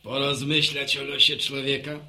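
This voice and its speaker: A man's voice: mid-range voice, monotone, moderate pace, bright, forceful tone, a little hiss or hum. A male actor playing a modest, chatty village postman and storyteller in a radio drama, with a folksy, reminiscing manner. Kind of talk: Polish radio serial acting.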